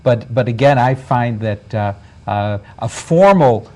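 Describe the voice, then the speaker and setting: A man's voice: mid-range voice, expressive, a little slow, darkish tone. A man talking in a casual talk show, hesitant, with many stammers and restarts.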